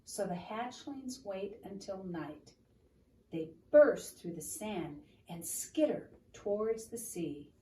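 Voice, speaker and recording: Slow, mellow woman reading to children, roomy sound. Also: mid-range voice, expressive. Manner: gentle teacherly explaining, checks in with "right?". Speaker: children's storytime reader. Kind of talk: read-aloud picture book with explanations for a child. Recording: roomy, distant mic with room noise.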